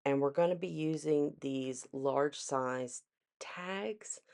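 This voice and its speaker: A congested woman hosting a craft channel, talking through her process in a relaxed, chatty voiceover with frequent ums. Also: low-pitched, slow, creaky. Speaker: craft video host. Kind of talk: casual tutorial voiceover. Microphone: voiceover mic.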